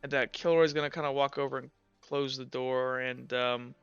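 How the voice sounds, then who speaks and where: A man's voice: mid-range voice, little pitch movement, normal speed, smooth, no echo, dull audio, soft background music. A man acting as game master, improvising tabletop roleplay narration in a casual, loose way, searching for words.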